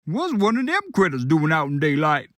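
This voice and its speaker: A woman's voice: low voice, sing-song, normal speed, dry recording, clean studio sound, voice pushed down. A woman voicing a boastful male blacksmith with a swaggering drawl.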